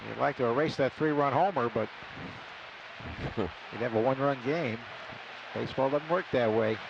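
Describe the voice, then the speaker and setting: A man's voice: mid-range voice, normal speed, creaky, muffled recording. A man calling baseball play-by-play on live radio in clipped phrases that swell on big plays. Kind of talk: live sports commentary. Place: broadcast booth at an outdoor ballpark. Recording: noisy live stadium radio broadcast. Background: loud ballpark ambience.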